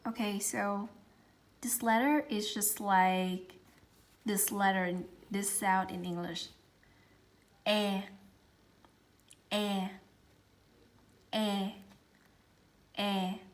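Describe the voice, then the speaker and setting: A young woman with a Southern Vietnamese accent and Vietnamese as her first language, teaching pronunciation patiently and saying each sound several times in a gentle, careful way. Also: mid-range voice, steady tone, very slow, pauses often. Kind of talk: slow, patient pronunciation lesson.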